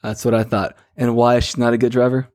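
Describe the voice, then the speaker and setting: A man's voice: medium pitch, flat delivery, quick pace, darkish tone. A man hosting a casual phone interview with a teasing, bantering way of talking. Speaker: host of a call-in segment.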